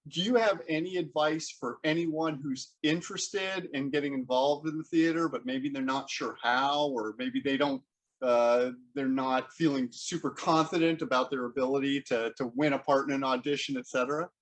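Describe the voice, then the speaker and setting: A man hosting a conversational interview, speaking in a halting way and rephrasing as he goes. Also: medium pitch, steady tone, poor audio. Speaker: interview host.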